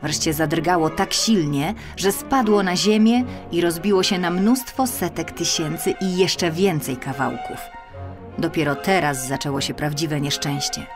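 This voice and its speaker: A woman narrates a fairy tale for children in a slightly low voice. Her delivery is expressive and emphatic, lingering on key words. Faint music plays behind her. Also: measured pace. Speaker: audiobook narrator.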